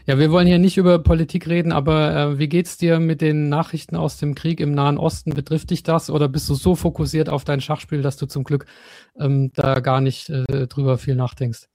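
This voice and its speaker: A man's voice: fairly high pitch, flat delivery, a little fast, vocal fry, a little mellow, no echo, clean studio sound. A man hosting a podcast interview, asking long questions with many fillers and restarts. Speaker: podcast host. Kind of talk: casual interview.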